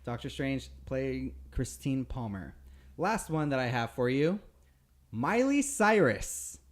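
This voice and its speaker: A man's voice: mid-range voice, very animated, takes it slowly. A man chatting casually on a podcast as quizmaster and panelist. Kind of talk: casual chat.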